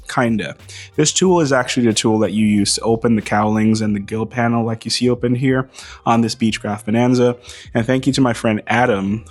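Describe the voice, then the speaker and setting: Host, male, slightly hoarse voice, wry and playful delivery, mid pitch, small clip-on mic in a hangar, faint music under him. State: losing his voice, slightly hoarse. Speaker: aviation channel host. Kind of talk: casual monologue to camera. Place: beside a plane in a hangar. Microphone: small wireless mic.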